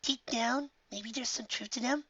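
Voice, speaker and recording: A man voicing a young, studious and excitable female unicorn pony for a fan fiction audiobook. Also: very high-pitched, moderate pace, slightly rough, dry recording, dull audio, voice pushed up, full character voice. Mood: curious, eager.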